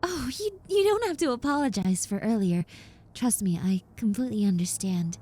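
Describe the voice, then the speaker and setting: A woman's voice: medium pitch, animated, moderate pace, dark tone, close to an everyday voice. A woman playing a shy, rambling young roommate, a reformed obsessive, in an intimate audio roleplay with hesitant, stammering delivery. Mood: reassuring, tender. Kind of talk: roleplay audio acting, intimate one-sided conversation.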